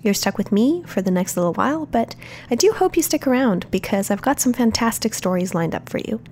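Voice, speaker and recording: A woman's voice: a little high, animated, a little fast, a little mellow, a little hiss or hum. A woman from a company communications team hosting a podcast, reading a friendly, upbeat script.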